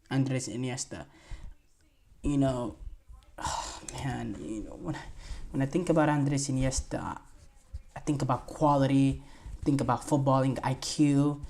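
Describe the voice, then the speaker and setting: A man's voice: medium pitch, darkish tone. A twenty-year-old man hosting a solo sports podcast, talking in a rambling, enthusiastic way with lots of fillers and restarts. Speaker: podcast host.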